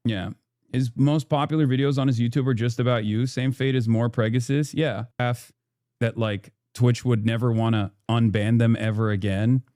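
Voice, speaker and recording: Dark-toned man, close dry sound. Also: medium pitch, steady tone, moderate pace, no echo. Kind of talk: casual commentary.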